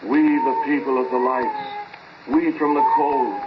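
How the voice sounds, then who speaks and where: Man voicing a slow alien hive mind, noisy old radio. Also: mid-range voice, fairly even intonation, close to an everyday voice. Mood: eerie, commanding. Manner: slow, deliberate, repetitive, speaks as "we". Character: alien hive people speaking through a possessed man, cold, commanding. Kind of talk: old-time radio horror acting. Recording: noisy, muffled vintage radio recording.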